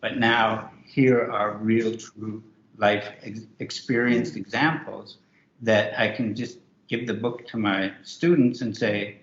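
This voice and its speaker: A man's voice: lowish voice, steady tone, takes it slowly. A man, co-author of a book, talking loosely with many restarts in a virtual author interview. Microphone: video call. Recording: roomy, muffled video call.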